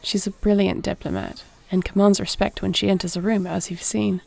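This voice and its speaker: A woman playing a gentle, dignified warrior princess in an intimate fantasy roleplay, speaking softly with formal, measured, tender diction. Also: mid-range voice, flat delivery, gravelly. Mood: reflective.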